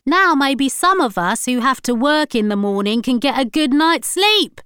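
A woman doing a grumbling shouting neighbour. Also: mid-range voice, completely flat delivery, unhurried, clean tone, no echo, studio quality. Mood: irritated. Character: irritated neighbour shouting over a fence.

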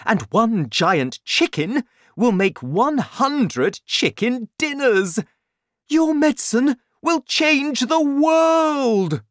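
Woman putting on an excitable, bossy storybook dad, loud and swooping. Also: rumbling low, drawn-out words, hardly any pauses, no echo, clean studio sound, full character voice. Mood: excited, insistent. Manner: emphatic, exclaiming, insistent. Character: excitable, bossy middle-aged father, bursting with grand schemes. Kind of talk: children's storybook character voice.

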